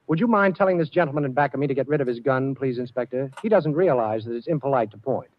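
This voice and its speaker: An actor playing a cool, wisecracking amateur sleuth in a 1940s radio drama. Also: male voice, mid-range voice, expressive, fast, close to an everyday voice. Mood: breezy. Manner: wry, unflappable. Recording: muffled old radio drama recording.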